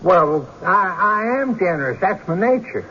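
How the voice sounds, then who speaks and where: A man's voice: high-pitched, big pitch swings, unhurried, bright, forceful tone, full character voice. An actor playing a nervous, cornered male witness in a radio drama, stammering and defensive. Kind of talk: old-time radio drama acting. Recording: dull, noisy radio drama recording.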